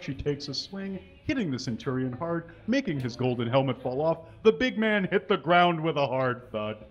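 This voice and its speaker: A man giving a live comedic reading of fan fiction on a small stage, mostly dramatic with deadpan asides, reading punctuation aloud. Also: fairly high pitch. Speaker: comedic reader. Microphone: stand mic. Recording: dull, lo-fi live recording.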